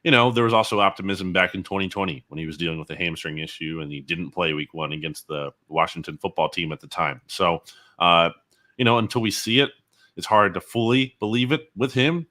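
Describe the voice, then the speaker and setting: A man's voice: low voice, fairly quick, pushed and bright. A man giving analysis as a guest on a sports interview show.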